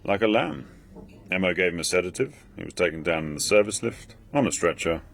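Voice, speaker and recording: An actor playing a sceptical but loyal British intelligence chief of staff, speaking in a dry, clipped manner in a radio drama. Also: male voice, deep voice, lively intonation, a little fast, gravelly, fairly bright tone, exaggerated voice. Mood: dry, matter-of-fact.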